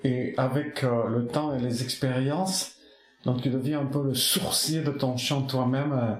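Basque-born guy, basque his first language, soft poetic rambling w lots of restarts, middle pitch, echoey room. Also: steady tone. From Basque Country. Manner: soft-spoken, poetic, halting with many restarts. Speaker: artist interviewed. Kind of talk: reflective reminiscing interview.

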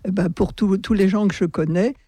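A woman from a radio prayer group, answering interview questions hesitantly and softly. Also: medium pitch, steady tone, brisk, slightly rough, no echo. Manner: hesitant, soft-spoken.

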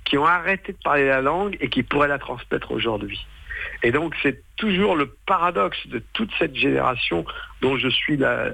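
Male stage performer, sixties, native patois speaker. Gravelly, bright voice, hesitant and colloquial interview talk. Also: mid-range voice, lively intonation, normal speed, no room sound. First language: western French patois. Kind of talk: casual radio interview.

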